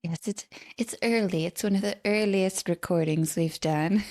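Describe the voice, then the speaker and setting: A woman co-hosting a podcast, chatting casually in a slow, gentle way with soft laughs. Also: medium pitch. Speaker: podcast co-host. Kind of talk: casual chat.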